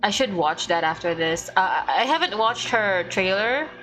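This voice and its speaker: A woman giving casual live gameplay commentary as a streamer, halting as she thinks aloud. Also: mid-range voice, animated, fairly bright tone, faint music behind, poor audio. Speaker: game streamer.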